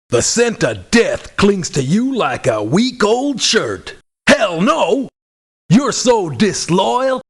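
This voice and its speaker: A man playing a gruff, blunt, hot-tempered mercenary for hire in a video game, with a gravelly, husky voice. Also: fairly bright tone, exaggerated voice. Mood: gruff, dismissive, then angry, loud. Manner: blunt, cranky, folksy swearing. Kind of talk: video game character voice lines. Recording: low sample rate game audio clips.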